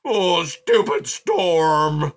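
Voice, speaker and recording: A man voicing a grumpy, complaining male porcupine who snarls and shouts in loud outbursts. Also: mid-range voice, lively intonation, unhurried. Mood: furious.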